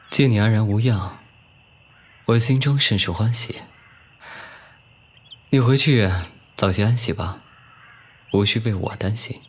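An actor playing a proud, teasing and wistful young nobleman officer in an ancient-costume audio drama, with measured classical phrasing. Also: fairly low pitch, slight background noise. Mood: tender. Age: young adult.

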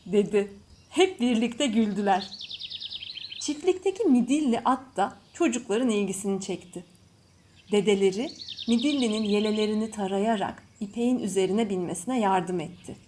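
A woman storyteller reading a scripted children's bedtime story in measured phrases. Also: medium pitch, warm tone. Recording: faint background noise with birdsong. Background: birds chirping.

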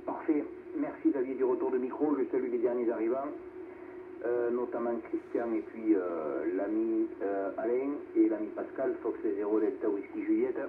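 A man's voice: mid-range voice, moderate pace. A man speaking casually as an amateur radio operator, heard over the air. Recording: noisy narrow-band radio reception.

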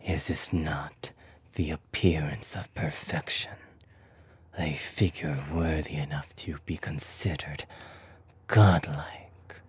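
A man voicing an arrogant, seductive, menacing demon lord in a slow, breathy ASMR whisper. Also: very deep voice, fairly even intonation, no echo, exaggerated voice. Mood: proud, seductive. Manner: slow, deliberate, haughty.

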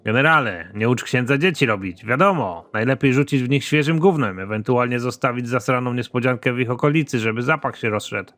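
Man acting a gruff, deadpan cartoon space soldier, bright-edged. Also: mid-range voice, fairly quick, hardly any pauses, natural voice. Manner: deadpan, crude, grudging. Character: gruff, trigger-happy, hard-drinking male space soldier. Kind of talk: animated comedy dialogue.

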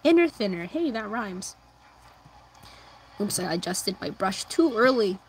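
Giggly, slightly hoarse young woman streamer. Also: mid-range voice, very animated, measured pace, a little hiss or hum, rough recording. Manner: chatty and giggly, answering chat, humming while drawing. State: slightly hoarse, losing her voice. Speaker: livestreamer drawing anime fan art. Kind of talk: casual chat while drawing on a tablet.

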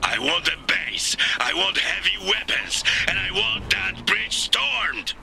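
An actor playing a fierce, defiant middle-aged rebel commander in a video game, gruff, blunt and commanding. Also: male voice, high-pitched, sing-song, slow pace, pushed and bright, faint music behind, full character voice. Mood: angry. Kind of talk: dramatic video game voice acting.

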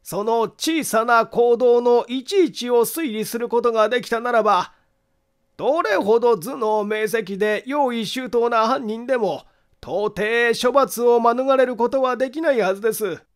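Guy doing a fussy methodical detective for an audiobook, super high voice, slow careful formal explaining. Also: smooth. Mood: methodical, confident. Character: meticulous amateur male detective explaining his deductions. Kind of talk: acted audiobook monologue.

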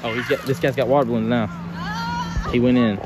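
A man filming a camp game on an open field, giving chatty, excited running commentary. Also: medium pitch, fairly quick, background noise. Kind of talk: casual play-by-play commentary. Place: open grassy field outdoors. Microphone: phone camera held by the filmer.

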